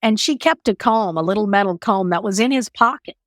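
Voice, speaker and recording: A woman's voice: mid-range voice, fairly quick, no echo, studio quality. A woman hosting a conversational interview, speaking as an empathetic, encouraging listener who shares personal stories. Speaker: podcast host.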